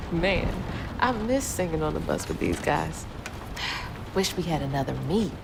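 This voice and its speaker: A woman's voice: low voice, huge pitch swings, takes it slowly, vocal fry, background noise, exaggerated voice. An actress playing a headstrong, sentimental young woman with a Southern US accent in a game cutscene, casual and sardonic. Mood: wistful, wry. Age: young adult. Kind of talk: naturalistic game cutscene acting.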